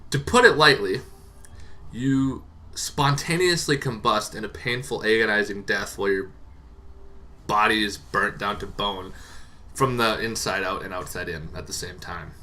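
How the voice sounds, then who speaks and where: A man hosting a tabletop roleplaying show, explaining casually and off the cuff in a rambling, halting way that repeats words. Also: lowish voice, sharp tone. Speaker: host of a tabletop roleplaying monster lore show. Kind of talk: casual unscripted explainer to camera.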